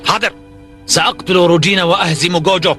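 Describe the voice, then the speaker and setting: A man's voice: high-pitched, fairly even intonation, fast, sharp tone. A man playing an impetuous, vengeful young warlord in a cartoon dub, declaiming with fervour. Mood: fierce, eager. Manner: fervent, declamatory. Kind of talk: dubbed cartoon acting. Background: faint music.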